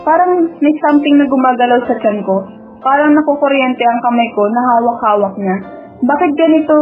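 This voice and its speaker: A woman's voice: on the high side, lightly acted. A woman with a Filipino accent playing a wistful, lovestruck young student who narrates her dreams in a radio drama. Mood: wondering, tender. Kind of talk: first-person radio drama narration and dialogue. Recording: dull lo-fi audio, music bed. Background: background music.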